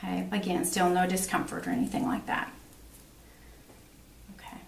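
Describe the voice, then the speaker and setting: A woman nursing instructor talks a patient through a physical exam, explaining as she goes. Her voice has some fry, and it is picked up by a camera in a small echoey room with faint noise. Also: lowish voice, completely flat delivery, normal speed. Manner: instructional, explaining each step aloud. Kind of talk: clinical demonstration explained to a patient. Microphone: camera a few feet away. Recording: roomy, distant camera mic, faint noise.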